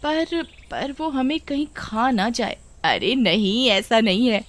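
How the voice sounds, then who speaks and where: Woman acting an expressive cartoon village woman. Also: on the high side, takes it slowly. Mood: eager, nervous. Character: village women, eager, chatty and indignant. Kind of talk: children's cartoon story acting.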